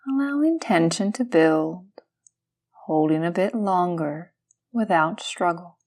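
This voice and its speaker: A woman guiding a yoga nidra meditation, speaking gently and soothingly in calm, unhurried instructions with pauses between phrases. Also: lowish voice. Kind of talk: guided meditation.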